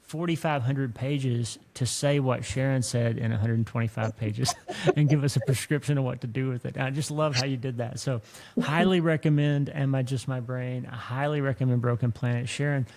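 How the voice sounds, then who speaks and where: A man hosting a podcast in a conversational, earnest, effusive and folksy way, with a creaky voice. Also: mid-range voice, steady tone, normal speed, a little mellow. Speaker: podcast host. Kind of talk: conversational interview and podcast talk.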